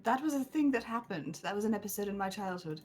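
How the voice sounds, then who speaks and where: A woman's voice: medium pitch, animated, fast. A blunt-spoken woman in casual livestream chat with friends, swearing freely. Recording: music playing behind the voice. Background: music.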